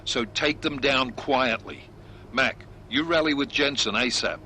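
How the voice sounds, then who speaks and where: A man playing a brisk, professional squad leader in a video game, giving orders with authority. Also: mid-range voice, pushed and bright, slight background noise. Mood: urgent, commanding. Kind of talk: video game cutscene acting.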